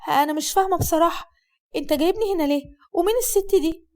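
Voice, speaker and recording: A woman's voice: extremely high pitch, very fast, voice pushed up. A woman voicing a distraught young bride, pleading and agitated. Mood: uneasy.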